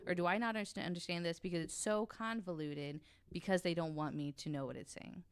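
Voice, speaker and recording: A woman's voice: on the low side, steady tone, a little fast, clean tone. A woman explaining things casually on a podcast, informal and frank in her delivery. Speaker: podcast panelist.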